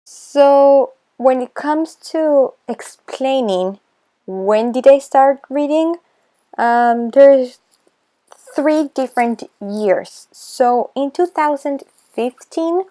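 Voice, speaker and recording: Slow, hesitant Argentinian teen girl. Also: high voice, warm tone. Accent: Argentinian Spanish. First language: Spanish. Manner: slow, hesitant, self-conscious, many pauses. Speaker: booktuber. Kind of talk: casual voice-over vlog.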